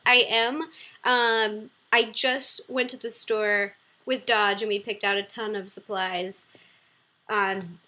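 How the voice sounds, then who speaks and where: A woman's voice: mid-range voice, bright, forceful tone. A young woman hosting a casual livestream from home. She is bubbly, laughs often and hums to herself while reading viewer questions. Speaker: livestream host. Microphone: computer webcam mic.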